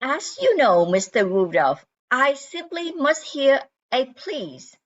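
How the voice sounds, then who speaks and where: A woman's voice: high voice, very animated, slow, a bit bright, heavily acted. A woman playing a poised, proper and fussy reindeer, speaking formally and precisely in an amateur radio play. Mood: prim, insistent. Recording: slightly dull lo-fi sound.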